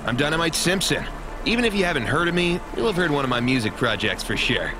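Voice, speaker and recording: A man's voice: a little high, big pitch swings, quick pace, rough recording. A man playing a brash, self-important music mogul in a video game, pompous and pushy. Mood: boastful. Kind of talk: video game dialogue acting.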